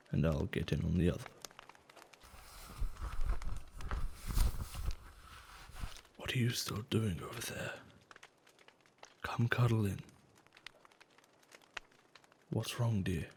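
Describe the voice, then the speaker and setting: A man acting a gentle, caring giant in a soft-spoken, soothing and affectionate ASMR roleplay, with slightly formal phrasing. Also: animated, moderate pace, slight background noise. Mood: tender, coaxing. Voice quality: low, soft, gravelly. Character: gentle male giant, tender, curious and caring toward humans.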